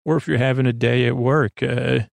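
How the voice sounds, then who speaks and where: A man with a creaky voice hosting a bedtime podcast in a gentle, meandering conversational way, reassuring and often interrupting himself with tangents. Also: medium pitch, steady tone, fairly quick, dry recording. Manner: meandering, hesitant, full of tangents and self-interruptions. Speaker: podcast host.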